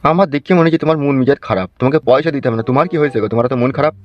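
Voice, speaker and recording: A man's voice: mid-range voice, rapid-fire, lo-fi sound, close to an everyday voice. A man voicing a grumbling, destitute uncle in a comedy cartoon, blunt and melodramatic, with a Bengali accent. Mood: nosy. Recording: music behind the voice.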